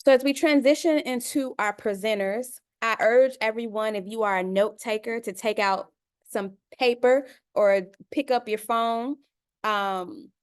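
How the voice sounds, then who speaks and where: A woman's voice: fairly high pitch, unhurried, clear, resonant tone. A woman from Chicago facilitating an online panel discussion, talking in a conversational way and calling her listeners y'all. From Chicago, United States. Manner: conversational, addresses listeners as y'all, many uh fillers. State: dry mouth.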